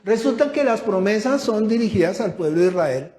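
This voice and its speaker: A man's voice: high voice, animated, a little fast, dark tone. A male pastor preaching a sermon, asking the congregation questions and raising his voice for emphasis. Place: church hall. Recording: echoey church room.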